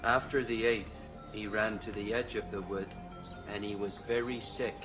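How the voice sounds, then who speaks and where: A dull male labourer, played by a man, tells his story in a low, plodding voice. Music sits beneath him in this radio play. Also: steady tone, moderate pace, close to an everyday voice. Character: dull male land worker recounting a sight. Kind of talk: radio drama acting.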